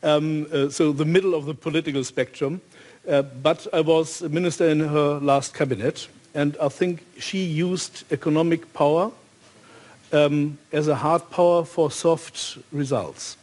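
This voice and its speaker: A man with a German accent speaking off the cuff on a panel, in halting phrases with frequent pauses. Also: on the high side, steady tone, a little mellow. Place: large hall stage at a symposium.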